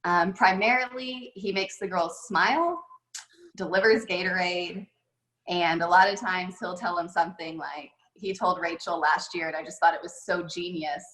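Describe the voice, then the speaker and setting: A woman's voice: lowish voice, normal speed, fairly bright tone. A woman head golf coach telling stories in a casual, chatty way with many fillers, over a video call. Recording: echoey room on a video call.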